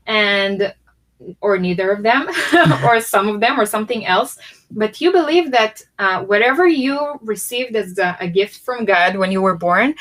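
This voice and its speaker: A woman's voice: mid-range voice, fairly bright tone. A woman with a Hebrew accent, co-hosting a talk show and explaining her ideas in a thoughtful way, with many fillers and restarts. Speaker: podcast co-host. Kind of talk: casual chat.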